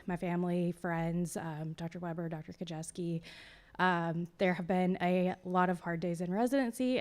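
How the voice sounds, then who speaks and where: Low-voiced woman giving a chatty speech. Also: darkish tone. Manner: chatty, self-described yapper. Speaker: graduating medicine-psychiatry resident. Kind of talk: graduation speech.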